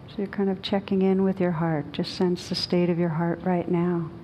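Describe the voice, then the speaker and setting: A woman guides a meditation in a slightly low, mellow voice. Her delivery is gentle, soothing and unhurried, with very little rise and fall and long pauses between phrases. A faint rumbling noise sits under the recording. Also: little pitch movement, low-quality recording. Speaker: meditation teacher leading a guided meditation.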